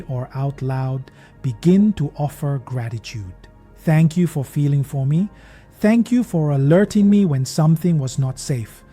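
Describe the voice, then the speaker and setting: A man narrating a guided meditation in a gentle, measured, soothing manner, as a podcast host leading listeners. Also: fairly high pitch, breathy edge. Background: meditation music.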